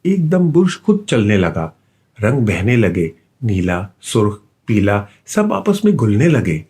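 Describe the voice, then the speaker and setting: A man narrating a scripted children's bedtime story with an expressive storytelling delivery. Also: fairly low pitch, a little slow, breathy edge.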